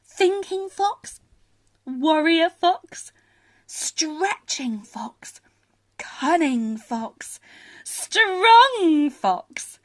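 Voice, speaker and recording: Female children's narrator: lively swooping pitch, very unhurried, gushing, talks straight to little listeners. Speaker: children's storyteller. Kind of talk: expressive bedtime story narration for young children.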